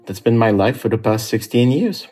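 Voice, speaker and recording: A man from Syria and Lebanon, an aid worker, speaking calmly and thoughtfully from a quiet home office, pausing often with "uh". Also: medium pitch. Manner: calm, thoughtful, with frequent "uh" pauses. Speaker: aid worker telling his story. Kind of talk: reflective monologue.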